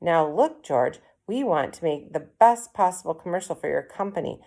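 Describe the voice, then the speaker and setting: A sensible father from a children's story, read by a woman. He speaks firmly and reasons patiently, in a slightly low register. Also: lively intonation, normal speed, warm tone. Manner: firm, reasoning.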